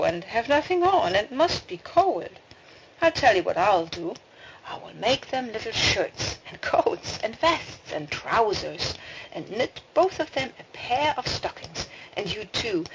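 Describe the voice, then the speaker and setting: A woman's voice: fairly high pitch, sing-song, a little slow, pitched above the performer's own voice. A woman reading the part of a shoemaker's kindly, grateful wife in a storybook, emphatic and earnest. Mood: grateful, eager. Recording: dull, noisy home recording with clicks.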